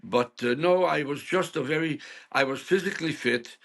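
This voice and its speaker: A man's voice: on the high side, moderate pace, vocal fry, fairly bright tone. A man in his seventies from Hungary, speaking, telling stories frankly as a guest in an interview. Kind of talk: storytelling interview.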